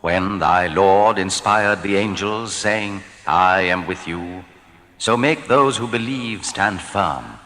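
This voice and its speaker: A man reads scripture in a fairly low but forceful, bright voice. His delivery is solemn, formal and deliberate, declaimed into a long reverb. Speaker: narrator of scripture translation. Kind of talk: solemn scripted reading of archaic scripture translation.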